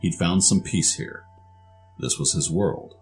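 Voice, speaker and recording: Very deep male story narrator. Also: a little slow, faint music behind.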